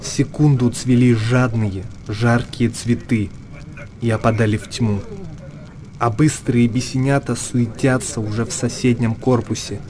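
A man performing as a solemn storyteller, narrating a literary radio drama in measured short phrases. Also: medium pitch, steady tone, darkish tone, close to an everyday voice. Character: solemn storyteller of a monastery tale.

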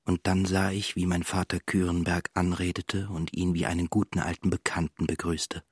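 A brooding man tells of his family as an ashamed, estranged son in a radio drama. His voice sits very deep with a husky grain. The delivery stays flat and restrained, close and dry. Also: normal speed, dry recording. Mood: detached recollection. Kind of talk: radio drama first-person narration.

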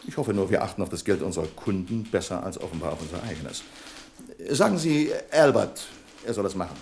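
An actor playing a pedantic, sarcastic office boss in a German radio drama, with pompous, exaggeratedly formal politeness. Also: male voice, deep voice, animated, a little fast, darkish tone, slight background noise. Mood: bossy, scolding. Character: pedantic, sarcastic male office boss, nagging about punctuality.

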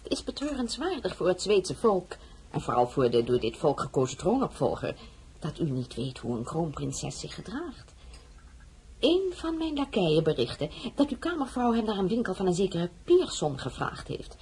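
Haughty queen, dark mellow voice. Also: on the low side, sing-song, moderate pace, slight background noise. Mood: cold, reproving. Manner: imperious, clipped courtly formality. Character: haughty Swedish queen, coldly formal mother-in-law. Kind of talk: dramatised radio play acting.

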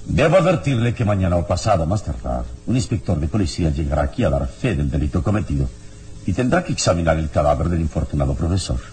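A heroic adventurer in a radio drama, with a very low voice. He is unflappable, formal and firm, with animated, theatrical intonation. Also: a little hiss or hum. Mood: firm, composed. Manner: measured, polite, formal, firm. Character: calm, unflappable heroic adventurer, adult man.

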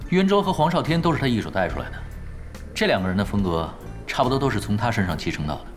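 An actor playing a laid-back, sly young veteran gamer in an audio drama, unruffled, wry and teasing. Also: male voice, on the low side, big pitch swings, fast, faint music behind. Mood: explanatory.

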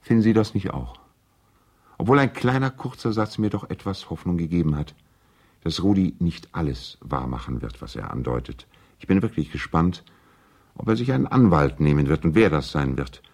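A courteous lawyer and family friend in a seventies German radio drama. His voice is deep and mellow. He speaks formally and carefully, always trying to smooth things over. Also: animated. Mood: earnest, hopeful. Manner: formal, measured, polite. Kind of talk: 1970s German radio play, letter monologue.

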